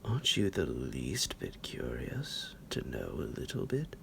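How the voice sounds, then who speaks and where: A man playing a centuries-old psychotic vampire captor in an ASMR roleplay, possessive and mock-tender, speaking theatrically in a breathy, gravelly whisper that drops into a low creak. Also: wildly swooping pitch, fairly bright tone, slight background noise, exaggerated voice. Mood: playful, menacing. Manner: slow, theatrical, menacingly affectionate, chuckling. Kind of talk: ASMR roleplay addressed to the listener.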